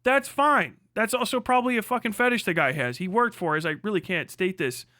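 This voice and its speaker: High-pitched American man ranting bluntly. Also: a little fast, bright, forceful tone, no echo, clean studio sound. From United States. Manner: blunt, irreverent, emphatic. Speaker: livestream host. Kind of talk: casual, profane opinion rant.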